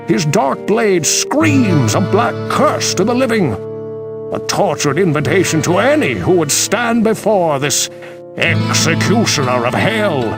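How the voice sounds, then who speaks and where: An actor playing a grave, scholarly sage in a video game, telling ancient lore in a solemn, dramatic manner. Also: male voice, high voice, gravelly, heavily acted. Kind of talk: video game voice acting, storytelling. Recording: loud music behind.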